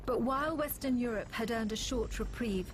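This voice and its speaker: An actress playing a grave war narrator in a video game, solemn and measured, with music under her voice. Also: on the high side, slight background noise, lightly acted, rough recording. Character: grave woman recounting a war against aliens.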